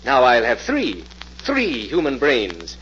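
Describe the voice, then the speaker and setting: A cold, grandiose mad scientist who lords it over all in formal phrases. His pitch swings widely in the manner of vintage radio melodrama. The recording is dull, with some background noise. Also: wildly swooping pitch, slow pace, a bit bright, exaggerated voice. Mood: commanding, fanatical. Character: cold, fanatical mad scientist commanding a robot servant. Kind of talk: 1940s radio acting. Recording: dull, noisy old-time radio recording.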